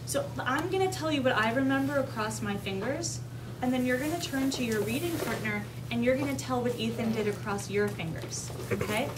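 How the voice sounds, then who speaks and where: Female kindergarten teacher for an interactive read-aloud. High-pitched, simple instructions with regular check-in questions, picked up from a distance. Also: brisk. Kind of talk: interactive read-aloud lesson to young children. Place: kindergarten classroom with children. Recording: distant mic, clearly noisy room ambience.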